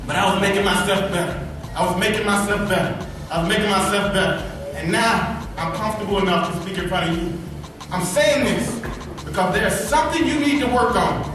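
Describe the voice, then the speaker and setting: High-voiced man with a slight lisp. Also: fairly quick. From Birmingham, Alabama. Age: 30s. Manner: earnest, repeats key phrases for emphasis. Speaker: motivational speaker. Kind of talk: motivational speech to students. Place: large echoey school event hall. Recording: echoey hall from far off, with music.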